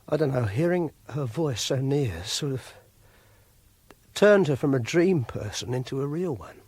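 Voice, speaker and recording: A socially awkward, self-pitying English clerk in a radio drama. His voice is husky and close, with very little room around it. He confides his fixations in halting, hesitant phrases, like a man who is used to being alone. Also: medium pitch, darkish tone, no echo. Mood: dreamy, obsessive. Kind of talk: first-person radio drama monologue.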